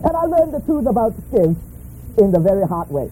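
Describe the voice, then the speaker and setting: A middle-aged man preaches emphatically, in short bursts that leave room for an interpreter. His voice sits high for a man yet sounds dark and mellow, and it swoops widely in pitch. A faint noise hangs behind him. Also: big pitch swings, fairly quick, poor audio. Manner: emphatic, humorous, in short phrases left for translation. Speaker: guest preacher. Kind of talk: sermon delivered through an interpreter.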